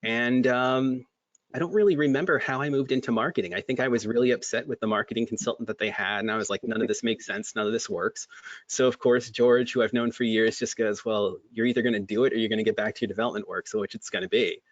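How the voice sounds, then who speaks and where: A man's voice: mid-range voice, steady tone, fast talker, dry recording. Man on a dull lo-fi video call. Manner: chatty, many restarts and fillers. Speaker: guest, MSP creative director. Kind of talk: casual webinar chat.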